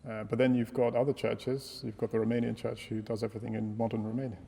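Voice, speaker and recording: A man's voice: medium pitch, little pitch movement, fast talker, gravelly, dark tone, husky. A middle-aged man from England, a priest, talking reflectively in a casual panel discussion with hesitant restarts. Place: church hall. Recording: long reverb in a hall.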